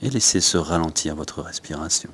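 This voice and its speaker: A man leads a guided yoga and breathing class, giving unhurried instructions in short phrases with pauses. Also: a bass voice, fairly bright tone, slight background noise. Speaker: yoga teacher.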